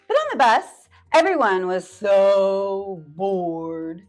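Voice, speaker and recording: A woman reads a picture book aloud for children at a leisurely pace, her voice sitting in a middle register. She draws words out and slips into playful whispers. Faint music plays underneath. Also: medium pitch. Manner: expressive, drawn-out words and playful whispered asides. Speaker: library storyteller.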